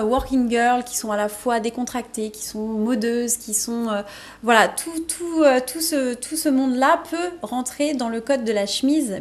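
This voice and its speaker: A young woman from France, a fashion designer, explaining her work eagerly in a TV interview. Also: on the high side. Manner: eager, explanatory, laughs easily. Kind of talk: TV interview answers. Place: TV studio.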